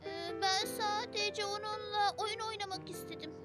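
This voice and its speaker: A performer voicing a sweet, curious four-year-old boy in a children's cartoon dub, earnest and expressive in a childlike way. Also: extremely high pitch, normal speed. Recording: music behind the voice.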